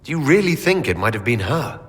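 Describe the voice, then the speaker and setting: An actor playing a composed, supportive heroic leader in a video game, calm and direct. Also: male voice, medium pitch, a little fast, big-room sound, close to an everyday voice. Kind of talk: video game cutscene acting.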